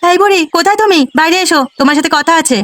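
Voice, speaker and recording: A woman's voice: extremely high pitch, very fast, fairly bright tone, a little hiss or hum. A woman voicing a greedy, quarrelsome village wife in a cartoon, scolding and demanding. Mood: demanding, threatening. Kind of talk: Bengali cartoon dialogue.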